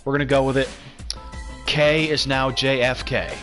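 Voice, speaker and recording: A man casually joking through a game stream, bright and forward in tone. Faint music plays in the background. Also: medium pitch, steady tone, normal speed. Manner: jokey, self-deprecating. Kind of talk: casual commentary over a game.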